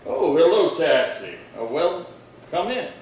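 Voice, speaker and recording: An actor playing a steady, watchful western marshal with a measured, firm delivery, in old-time radio drama style. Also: male voice, on the high side. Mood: calm, firm. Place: little theatre stage, distant mic. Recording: very echoey hall, far from mic, faint noise.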